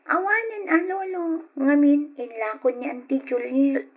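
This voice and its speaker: A woman's voice: high-pitched, lively intonation, a little slow. A woman playing a young, mistreated orphaned niece, plaintive and pleading, in old Ilocano radio drama style. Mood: sad, grateful. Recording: dull lo-fi old radio recording.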